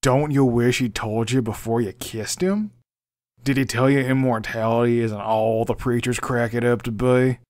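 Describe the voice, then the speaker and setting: An amateur actor playing a bitter, brooding young man in an audio drama, with a sardonic, deliberate way of talking. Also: medium pitch, fairly even intonation, a little mellow, close to an everyday voice. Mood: bitter, taunting. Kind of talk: fan audio drama acting.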